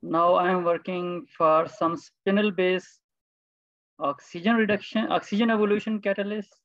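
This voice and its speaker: A man from Pakistan speaks haltingly, restarting his sentences as he introduces his research. The sound is muffled and dry, like an online call. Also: sits high, fairly even intonation, fairly bright tone, dry recording. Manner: hesitant, many restarts. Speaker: PhD candidate introducing himself.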